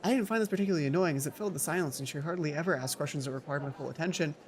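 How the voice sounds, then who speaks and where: Let's play host, medium pitch, theatrical story reading broken by casual asides, music behind. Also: rapid-fire. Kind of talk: reading visual novel aloud with casual asides.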